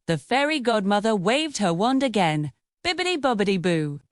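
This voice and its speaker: A woman narrating a fairy tale for children in a scripted, expressive, sing-song storytelling manner. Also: medium pitch, normal speed, no echo, studio quality.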